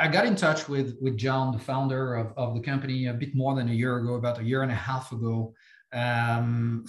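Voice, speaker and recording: French-accented man in an echoey room. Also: mid-range voice. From France. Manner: halting, many repeated words and fillers. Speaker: company CEO guest. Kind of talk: podcast interview.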